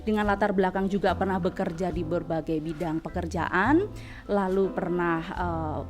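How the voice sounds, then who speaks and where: A woman's voice: on the low side, fairly even intonation, normal speed, soft background music. A woman hosting a podcast, chatty, with many fillers. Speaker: podcast host, lecturer.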